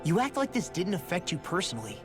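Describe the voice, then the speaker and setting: A man's voice: sits high, wildly swooping pitch, quick pace. An actor voicing a blunt, hot-tempered young male fighter in a game. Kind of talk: video game voice acting. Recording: game audio with faint music.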